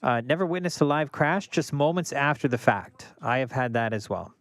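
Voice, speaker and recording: A man's voice: medium pitch, animated, normal speed, fairly bright tone, dry recording. A young man, a radio traffic reporter chatting casually and rambling as he reads viewer questions between reports. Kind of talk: casual live-stream chat with on-air traffic reports.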